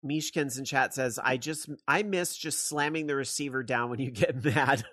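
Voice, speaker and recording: A man's voice: mid-range voice, moderate pace, bright, forceful tone, no room sound. Laid-back male podcast host, dry close mic. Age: young adult. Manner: rambling, self-mocking, laughs often. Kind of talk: casual chat.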